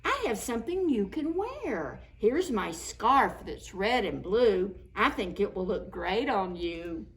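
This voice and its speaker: A woman voicing helpful, excited young children in a picture book, earnest and exclaiming. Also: takes it slowly, hardly any pauses. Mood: generous. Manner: high-pitched, exclaiming, earnest.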